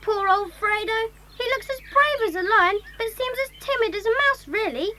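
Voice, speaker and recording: A woman's voice: extremely high pitch, animated, normal speed, noisy background. A woman voicing an eager, chatty schoolboy in a children's audio drama. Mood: excited, amused.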